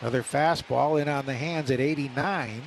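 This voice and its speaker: A man's voice: medium pitch, moderate pace, gravelly, slight background noise. A man working as a radio announcer, calling live baseball play-by-play.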